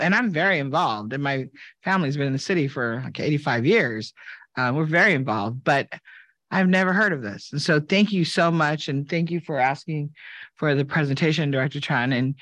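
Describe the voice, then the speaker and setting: A man speaking as mayor at a public meeting, loud, emphatic and earnest. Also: on the high side, dry recording.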